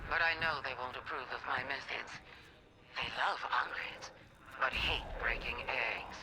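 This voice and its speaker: A man playing a haughty, secretive experimenter in a video game, speaking with deliberate condescension. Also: mid-range voice, natural voice. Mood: disdainful musing. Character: haughty, secretive male experimenter with dark rituals. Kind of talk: dramatic video game voice acting. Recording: clear noise behind the voice.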